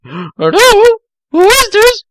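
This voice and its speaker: Man doing a shrill, excitable young cartoon girl. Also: slow pace, no echo, heavily acted. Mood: startled. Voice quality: shrill falsetto. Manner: exaggerated, dramatic.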